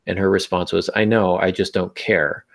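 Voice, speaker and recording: A man chats casually as the host of a podcast. His voice sits a little low and has plenty of fry in it. He talks in a wry, anecdotal way, poking a bit of fun at himself. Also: flat delivery, normal speed.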